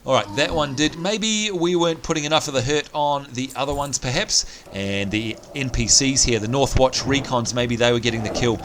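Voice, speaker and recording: A man's voice: medium pitch, moderate pace, few pauses, noisy background. Strained-voiced man doing game commentary. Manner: chatty, upbeat, thinking aloud. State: strained, nearly lost voice. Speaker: let's play host. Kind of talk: gameplay commentary. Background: game sounds and music.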